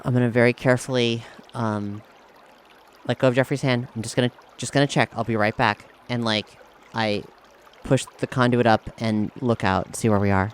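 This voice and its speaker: Male role-play gamer, medium pitch, improvising haltingly, faint background noise. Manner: halting, thinking aloud. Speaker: tabletop role-playing game player. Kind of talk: improvised in-character play and narration. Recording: steady noise behind the voice.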